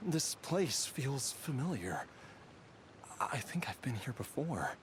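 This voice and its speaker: Casting: weary, earnest young man, halting and slow delivery, expressive range, dramatic game role. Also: medium pitch, noisy background. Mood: dazed. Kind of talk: dramatic anime game voice acting.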